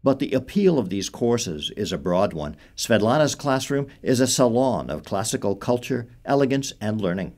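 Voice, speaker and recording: A man's voice: mid-range voice, big pitch swings, moderate pace, hardly any pauses, a little mellow. A man narrating a school news program script in a polished, upbeat way.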